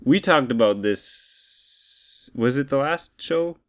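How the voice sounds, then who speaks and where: A man's voice: medium pitch, animated, slow, fairly bright tone. A man co-hosting a podcast, chatting casually and explaining gaming news in an informal, bantering way. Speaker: podcast co-host.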